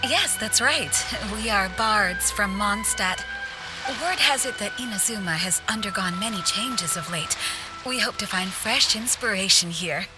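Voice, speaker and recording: Here is a polite travelling bard from a video game, played by a woman. She speaks at a middle pitch. Music plays loudly behind her. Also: expressive, a little slow, natural voice, rough recording. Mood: polite, hopeful. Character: polite female travelling bard visiting a festival. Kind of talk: English game voice acting.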